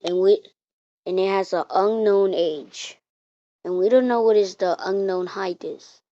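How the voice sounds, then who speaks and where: A woman reading out an improvised mock emergency alert in a halting way, repeating and restarting her words. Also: medium pitch, dark tone. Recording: muffled, roomy home recording.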